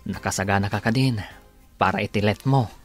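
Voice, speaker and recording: An actor playing a supportive, cheerful young husband in a Filipino radio drama, speaking warmly and encouragingly. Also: male voice, fairly low pitch, fairly quick, natural voice. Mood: reassuring. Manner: warm, reassuring, encouraging. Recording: dry studio, music underneath.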